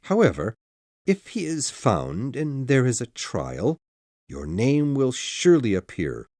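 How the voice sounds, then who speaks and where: A man playing a calm, businesslike lawyer who asks careful, formal questions. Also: medium pitch, slow, no room sound, clean studio sound. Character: calm, businesslike middle-aged male lawyer. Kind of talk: audiobook dialogue.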